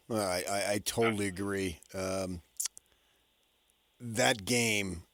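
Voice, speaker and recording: A man's voice: on the low side, a bit bright, no echo. A man hosting casual sports talk on the radio, speaking emphatically in short, repeated phrases.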